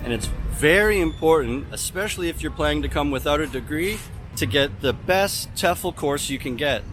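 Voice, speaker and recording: A young man from Canada talking casually to camera as a vlogger about teaching abroad, relaxed and chatty with many fillers. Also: fairly high pitch, moderate pace, music in the background. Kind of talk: casual vlog talk to camera. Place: outdoors in a city street. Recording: outdoor street noise behind.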